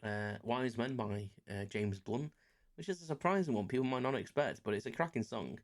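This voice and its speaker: A man's voice: medium pitch, lively intonation, moderate pace. A man around forty, chatting casually on his solo podcast, rambling and self-deprecating with many fillers. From England. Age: about 40. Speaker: podcast host.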